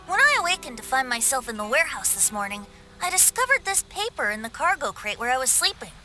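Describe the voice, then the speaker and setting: Game bard: youthful boy, high lively voice, playful, self-satisfied, theatrical flourishes, music underneath. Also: fast talker, exaggerated voice. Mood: musing. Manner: lilting, flowery, self-satisfied. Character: boyish young male bard, breezy, playful, theatrical, vain. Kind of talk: video game voice acting. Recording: music behind the voice.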